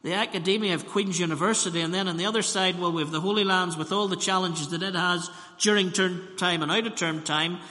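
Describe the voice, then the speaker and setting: Ballymena-accented preacher in a reverberant church. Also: male voice, sits high, fairly even intonation, bright, forceful tone. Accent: Northern Irish, Ballymena. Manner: storytelling, slows for emphasis, repeats phrases. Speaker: minister. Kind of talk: sermon.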